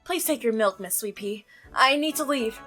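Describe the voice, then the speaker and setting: A woman's voice: a little high, animated, normal speed, sharp tone, faint music behind. A woman voicing a jittery male milkman devoted to his sick wife, who is defensive, strained and easily offended. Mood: evasive.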